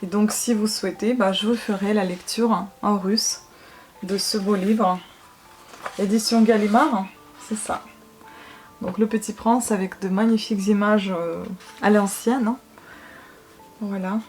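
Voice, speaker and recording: Young Russian-native woman from Ukraine, book vlogger, chatty and eager, mid-pitched, soft music under her. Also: steady tone, measured pace, long pauses. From Ukraine, former USSR. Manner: chatty and enthusiastic, addressing viewers directly. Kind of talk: casual show-and-tell to viewers.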